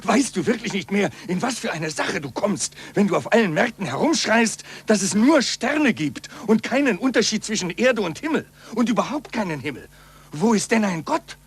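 An actor playing a cautious, anxious middle-aged skeptic, speaking earnestly and urgently in a 1940s German radio play. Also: male voice, high-pitched, big pitch swings, moderate pace, husky, heavily acted. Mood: alarmed, urgent. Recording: noisy, humming 1940s radio recording.

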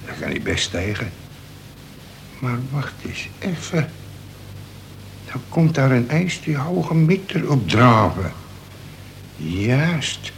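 A man's voice: medium pitch, sing-song, slow, creaky, pauses often, heavily acted. An actor in a radio play, a man playing a crafty, slangy convict with a streetwise way of talking. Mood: sly, musing. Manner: streetwise, colloquial. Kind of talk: Dutch radio play acting. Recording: noisy old radio drama recording.

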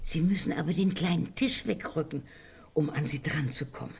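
A woman's voice: sits low, moderate pace, creaky, a little mellow, a little hiss or hum. An actress in a radio play voicing a practical but anxious woman, plain-spoken and somewhat fretful with her friends. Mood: doubtful.

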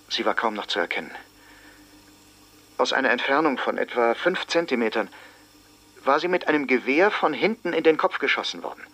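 Tense, grave schoolteacher witness. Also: male voice, mid-range voice, pushed and bright. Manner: grave, deliberate, with heavy pauses. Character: sober male small-town schoolteacher, a shocked crime witness. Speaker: actor in a radio drama. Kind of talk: dramatised witness testimony in a German radio play.

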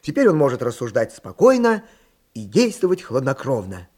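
A man's voice: mid-range voice, huge pitch swings, moderate pace, a little mellow, breathy edge. A man narrating a children's radio play as a storyteller, reading scripted prose. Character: expressive male storyteller narrating a children's tale. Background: music.

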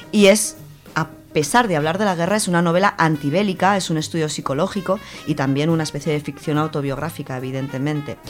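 Low-pitched Spanish woman reviewing books. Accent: Spain. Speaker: radio show book reviewer. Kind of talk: conversational book review with prepared notes.

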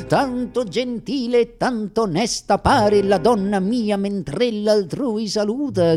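A man playing a bombastic variety-theatre poet who declaims absurd verse in a grandiose, drawn-out, mock-tragic manner for radio theatre. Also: sits high, darkish tone. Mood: solemn, grandiloquent. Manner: grandiose, over-emphatic, drawn-out delivery with piled-up lists.

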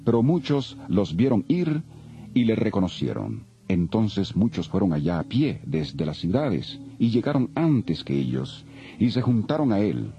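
A man with a deep, mellow voice narrates the Bible solemnly. His delivery rises and falls expressively, with measured phrasing, in a slightly dull recording. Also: sing-song. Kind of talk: dramatized scripted Bible narration. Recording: dull lo-fi audio, faint music.

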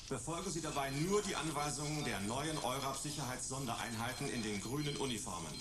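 A stern official making a public announcement in a radio play. His mid-pitched voice is formal and commanding, booming with echo over clear background noise. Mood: urgent, official. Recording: echoing loudspeaker announcement with noise.

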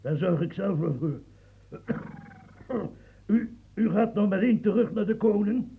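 A man's voice: sits high, animated, slow pace, vocal fry, husky, narrow, tinny audio, exaggerated voice. A man playing an aging, embittered French marshal in a radio play, stammering and repeating himself when agitated. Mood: irritable.

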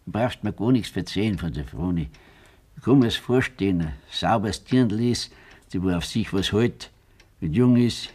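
A man's voice: lowish voice, expressive, moderate pace, warm tone. An actor playing a stern, blunt old farmer father with a Bavarian accent in a German radio play. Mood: stern, reproachful. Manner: scolding, blunt, rustic.

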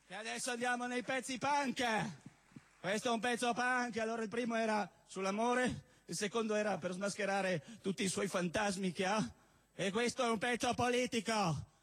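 High-pitched man declaiming theatrically. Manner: declamatory, emphatic, with drawn-out words and shouted bursts. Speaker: spoken word poet and performer. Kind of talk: theatrical spoken-word performance of satirical poems. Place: live stage at a cultural venue event.

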